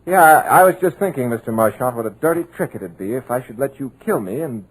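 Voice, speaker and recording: An actor playing a wry, trapped screenwriter in a 1950s radio drama, with a husky, hard-boiled, wisecracking delivery in an old radio recording. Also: male voice, medium pitch, animated, fast talker, rough recording. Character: wry, trapped male screenwriter, tough-talking.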